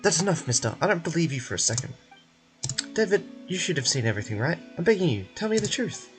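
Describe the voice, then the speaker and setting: A man's voice: a little high, big pitch swings, quick pace, soft background music, voice pushed up. A man voicing a distrustful young female journalist in a fantasy game, accusing and insistent.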